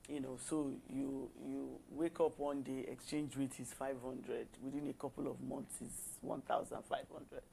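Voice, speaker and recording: A woman co-hosting a talk show, speaking in a measured way, often pausing on "you know". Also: a bass voice, level tone, creaky, dark tone, slight background noise, rough recording. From Nigeria. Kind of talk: casual discussion on a talk show.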